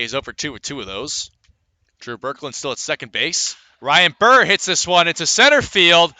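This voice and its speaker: A man calling live baseball play-by-play as a radio announcer, conversational between pitches and lifting his delivery for the action. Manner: lifts into excitement on plays, conversational between pitches.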